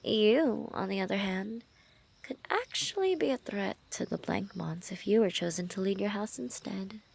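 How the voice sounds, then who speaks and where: A woman playing a lovestruck assassin in an intimate ASMR roleplay, teasing and menacing, speaking softly and often dropping into whispers. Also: medium pitch, lively intonation, normal speed, creaky, slightly rough. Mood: amused, confiding.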